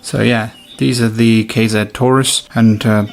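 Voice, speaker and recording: A man's voice: fairly low pitch, flat delivery, measured pace, creaky, soft background music. A man doing a casual, hands-on product review, thinking aloud informally as he demonstrates. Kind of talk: casual unboxing and review commentary.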